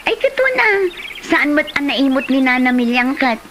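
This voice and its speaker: A woman's voice: sits high, big pitch swings, normal speed, background noise. A woman with an Ilocano Filipino accent acting a chatty village neighbour in a comedy drama. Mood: excited, gossipy.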